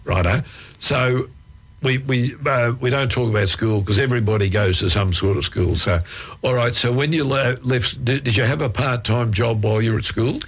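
A man's voice: medium pitch, normal speed, husky. A male radio host interviewing a guest in a chatty way, with many fillers and restarts.

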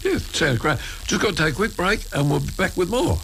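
A man's voice: a little high, big pitch swings, fairly quick, music in the background. A man hosting an interview, asking questions casually with hesitant fillers. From Australia. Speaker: radio host.

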